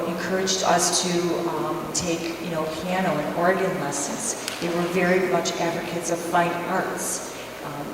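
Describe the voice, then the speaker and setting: A woman dentist on a conference panel, speaking in a measured, hesitant way with fillers. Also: on the low side, recorded from a distance. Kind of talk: panel discussion answer. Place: large conference hall. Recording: echoey hall, mic from afar, noisy.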